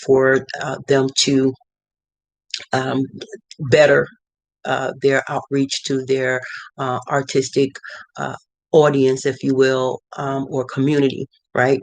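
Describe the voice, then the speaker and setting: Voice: mid-range voice, no room sound. A middle-aged visual artist giving casual advice from a cluttered home studio, talking in a rambling way with many fillers and restarts. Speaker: visual artist and art consultant.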